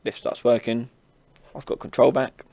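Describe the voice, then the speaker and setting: A man hosting an informal software tutorial, casually explaining each step as he demonstrates it on a close mic. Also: mid-range voice, flat delivery, moderate pace, gravelly, no room sound. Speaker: tutorial host. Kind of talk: informal screen-recorded software tutorial narration.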